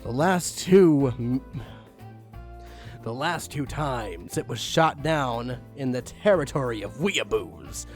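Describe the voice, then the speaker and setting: Guy reading dumb fanfic, exasperated, pitch all over the place, soft music behind. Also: lively intonation, takes it slowly. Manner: exasperated, mock-dramatic, sighing and swinging into outbursts. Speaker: reader of fan fiction. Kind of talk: dramatic reading of a bad fan story.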